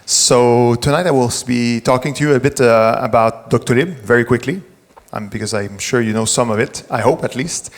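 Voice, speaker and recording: A middle-aged French Canadian man addresses a live audience at a conference, talking easily and often restarting his phrases. His voice sits at an ordinary middle pitch. The sound carries the long reverb of a large venue hall. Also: normal speed. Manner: conversational, with frequent restarts and self-corrections. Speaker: tech executive giving a conference talk.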